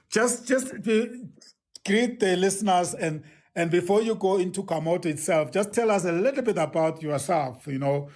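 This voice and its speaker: A man hosting a live podcast interview, effusive and prone to repeating his words as he starts a thought. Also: on the high side. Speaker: podcast host.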